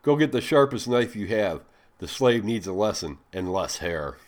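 Cold, commanding master, curt orders, deep low voice, man reading. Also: lively intonation, normal speed, close to an everyday voice. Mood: ordering. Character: cold, commanding male slave owner.

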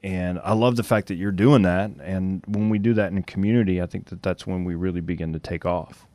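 Male radio host with a deep voice, earnest and steady, reflecting at length. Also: no echo. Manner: earnest, measured, uses "you know" and "right?". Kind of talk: reflective interview talk on faith.

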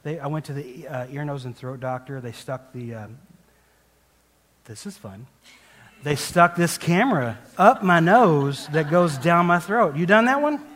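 A man's voice: a little high, animated, unhurried, a bit bright. A man preaching a conversational sermon as a guest speaker, telling a personal story in a rambling, self-deprecating way with frequent restarts and laughs. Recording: long hall reverb.

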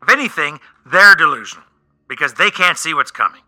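A man's voice: on the high side, expressive, brisk, pushed and bright, rough recording. A man playing a blunt stoner friend in a radio play, direct and profane, supportive but exasperated. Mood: encouraging, impatient. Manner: profane, direct, pep-talking. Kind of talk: naturalistic comedic radio play acting.

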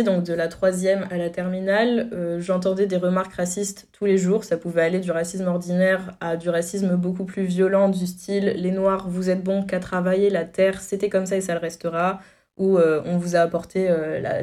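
A woman's voice: fairly low pitch, dark tone. A woman in her early twenties telling a personal story with many hesitations. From France. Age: 20s. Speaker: testimony guest. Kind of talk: personal testimony.

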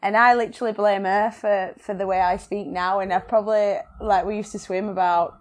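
A woman's voice: mid-range voice, fairly even intonation, brisk. A young woman with a Yorkshire accent, chatting casually as a podcast guest, laughing often and swearing freely. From England. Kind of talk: casual chat.

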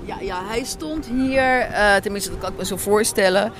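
A woman's voice: mid-range voice, normal speed. A woman giving thoughtful, conversational interview answers, searching for words as she goes. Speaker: interview guest, writer and director. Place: on location at an Amsterdam café and square. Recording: noisy on-location recording with city ambience.

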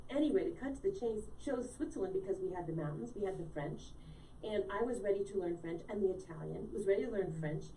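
A chatty woman in her late fifties, originally from Connecticut, tells her story with a mellow, soft tone. She sits back from the mic in an echoey room with a faint hiss behind her. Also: mid-range voice, animated, fast, recorded from a distance. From Connecticut, USA. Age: late 50s. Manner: chatty, storytelling, quizzes the host. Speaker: guest, wellness coach. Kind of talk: casual live-show conversation.

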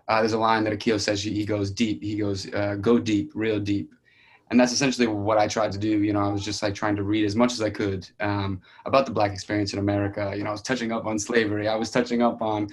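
A man talking casually about his writing as a playwright, hesitant and searching for his words. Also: lowish voice. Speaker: playwright and student discussing his play.

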